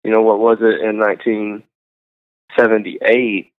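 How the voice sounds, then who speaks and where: Young male guest, rambling and filler-heavy, smooth voice, tinny phone-like sound. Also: fairly low pitch, level tone. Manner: halting, full of likes and ums. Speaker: singer-songwriter guest. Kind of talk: casual interview chat.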